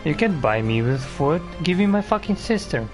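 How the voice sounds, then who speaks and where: A man's voice: on the high side, sing-song, moderate pace, soft background music. A man giving casual, slangy, grumbling commentary as he plays a video game. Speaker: gaming streamer.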